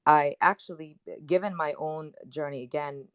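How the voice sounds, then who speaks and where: A woman from Lebanon, English not her first language, speaking as a panelist in an animated, emphatic, personal way. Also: sits low, moderate pace, clear, resonant tone, dry recording. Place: online audio chat room.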